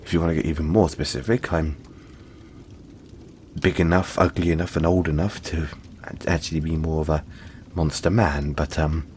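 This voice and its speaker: A man voicing a big, friendly, apologetic yeti in an audio roleplay, speaking gently to the listener in a halting, chatty, playful way. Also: very deep voice, little pitch movement, slight background noise. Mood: gentle, modest.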